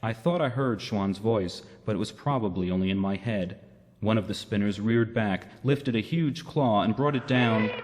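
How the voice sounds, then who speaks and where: A man playing a self-doubting robot who narrates his story in a reflective, measured way in a radio drama. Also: fairly low pitch, background noise, lightly acted. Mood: rueful. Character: self-doubting male robot recounting his own downfall. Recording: long reverberant hall sound.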